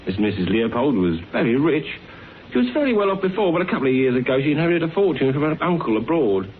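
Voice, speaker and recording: A man's voice: a little high, very animated, fast. A man acting a chirpy young British detective's assistant in a radio drama, breezy, slangy and matter-of-fact. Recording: thin narrowband radio recording, faint noise.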